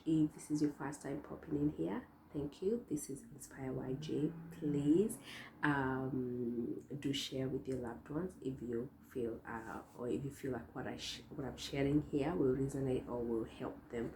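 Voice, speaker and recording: A young woman with a hoarse voice hosting her own vlog, chatting casually to viewers with lots of fillers and restarts. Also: very deep voice, normal speed, dark tone, slight background noise. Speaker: vlogger host. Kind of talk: casual talk to camera. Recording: echoey room.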